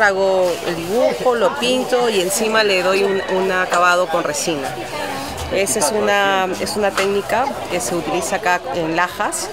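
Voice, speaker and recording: A middle-aged woman with a Peruvian accent, an artisan painter informally explaining her craft at an outdoor stall. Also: medium pitch. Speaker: artisan painter exhibiting work. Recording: loud outdoor crowd noise.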